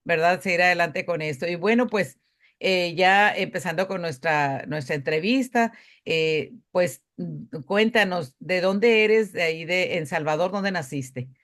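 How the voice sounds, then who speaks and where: A woman's voice: on the low side, measured pace, a bit bright. Hesitant woman hosting a podcast interview. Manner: hesitant, many fillers and restarts. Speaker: podcast host. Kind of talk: casual interview.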